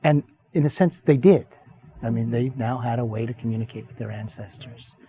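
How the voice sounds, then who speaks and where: A man's voice: mid-range voice, brisk, dark tone. A man on a discussion panel, recounting a personal experience in an anecdotal way. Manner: anecdotal, storytelling. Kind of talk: roundtable discussion.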